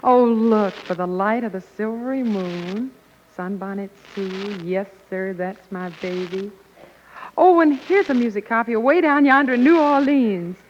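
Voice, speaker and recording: A woman bantering on a 1940s radio comedy show in a bright, eager way. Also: medium pitch, a little slow, a little hiss or hum. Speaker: singer, show regular. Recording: dull lo-fi 1940s radio broadcast.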